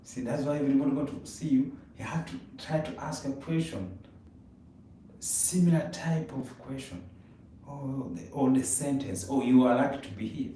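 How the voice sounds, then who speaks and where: Man, mellow dark voice, rambling casual talk, very echoey room, mic far off. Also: mid-range voice, normal speed. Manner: rambling, repeats words, non-native grammar. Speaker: live stream co-host. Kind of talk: casual conversation on a live stream. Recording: echoey room, distant mic, faint noise.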